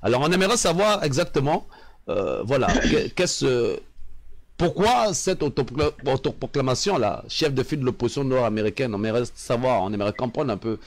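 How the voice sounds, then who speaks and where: A man's voice: mid-range voice, animated, a little fast. A man hosting a political interview, wordily circling and rephrasing his questions. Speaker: interviewer, show host.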